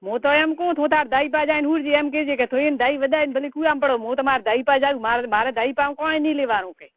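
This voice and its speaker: A woman's voice: sits high, fairly even intonation, fast, no echo. A middle-aged woman with a rural Rajasthani Marwari accent, talking colloquially and scoldingly in a private phone conversation. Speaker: caller, relative giving advice. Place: recorded telephone call. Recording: tinny phone line, noisy call recording.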